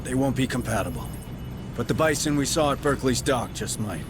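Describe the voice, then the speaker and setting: Man acting a gruff marine sergeant, noisy backdrop. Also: expressive, brisk, lightly acted. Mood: businesslike. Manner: curt, sardonic, commanding. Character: gruff, weary, stubborn male marine squad sergeant. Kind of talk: cinematic sci-fi game voice acting. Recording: noisy, engine and ambience rumble.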